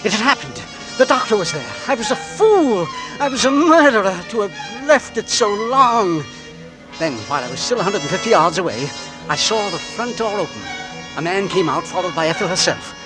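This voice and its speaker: A man's voice: high voice, big pitch swings, moderate pace, low-quality recording. A man acting a nervous businessman who narrates an old radio drama. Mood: frantic. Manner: tense, hushed, rising to frantic. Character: anxious middle-aged English businessman, narrator. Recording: old radio broadcast with music.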